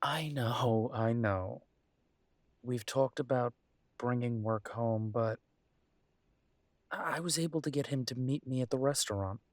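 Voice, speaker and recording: A man's voice: medium pitch, measured pace, long pauses, no echo, close to an everyday voice. A man voicing a grumbling but affectionate werewolf detective in an intimate audio roleplay, wry and fondly teasing. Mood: casual, exasperated. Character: gruff, affectionate male werewolf detective, grumbling city dweller.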